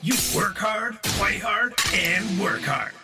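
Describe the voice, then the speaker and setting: Performer putting on a pushy ad announcer, upbeat, slogan-like, slow, loud guitar music behind. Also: medium pitch, far from the mic, close to an everyday voice. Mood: salesy, upbeat. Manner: slogan-like, deliberate salesy delivery. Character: cheery, pushy energy-product ad announcer for robots. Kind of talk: parody commercial voice-over.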